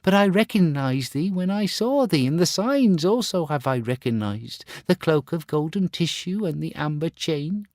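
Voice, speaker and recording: A man voicing a grieving beggar mother who pleads with her son in a high, soft voice. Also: expressive, moderate pace, few pauses, dry recording, clean studio sound. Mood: pleading.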